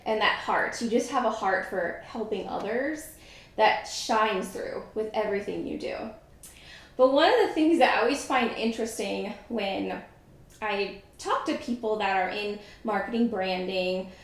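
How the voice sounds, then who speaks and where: A woman hosting a podcast interview, speaking in an effusive, halting way with fillers like "you know". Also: mid-range voice. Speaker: podcast host. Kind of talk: casual interview chat. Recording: very echoey room.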